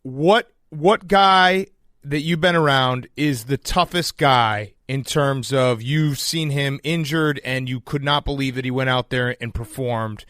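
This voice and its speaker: A man hosting a casual podcast interview, putting questions in an informal, slightly halting way. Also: mid-range voice, a bit bright, no echo, studio quality.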